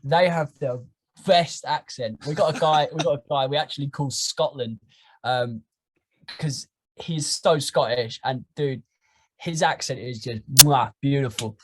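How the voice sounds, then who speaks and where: A young man with an English accent, chatting casually as a podcast guest, rambling and animated. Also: mid-range voice, unhurried, rough recording. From England. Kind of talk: casual chat.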